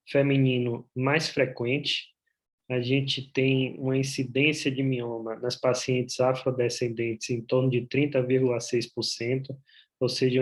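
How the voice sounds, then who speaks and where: A man from Bahia, a gynecologist with a Bahian accent, giving an explanatory talk over a video call, often pausing on "é". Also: mid-range voice. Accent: Bahian Portuguese. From Bahia, Brazil. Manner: explanatory, with frequent "é" fillers. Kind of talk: online medical presentation.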